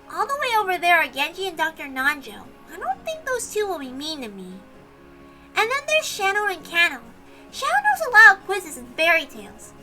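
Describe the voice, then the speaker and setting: A woman voicing an eager, confident little girl in a visual novel read-aloud, earnest and excitable. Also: very high-pitched, pitched above the performer's own voice, exaggerated voice.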